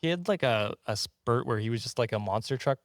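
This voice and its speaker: A man's voice: mid-range voice, animated, a little fast, no room sound. A man co-hosting a podcast, telling a comic story casually, tripping over his words, giggling and swearing.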